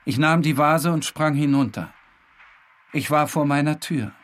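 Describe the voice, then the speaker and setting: A man's voice: fairly high pitch, a little slow, fairly bright tone, a little hiss or hum. A man narrating a literary story in a dramatic scripted style. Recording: music behind the voice.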